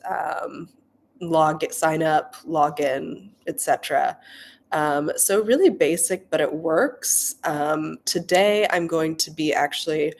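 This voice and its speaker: Sick young woman with a stuffy nose, chatting slow on her stream, kinda low and gravelly. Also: a little hiss or hum. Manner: chatty, many filler ums. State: sick with a cold, nasal. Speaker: livestreaming coder. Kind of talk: casual livestream chat.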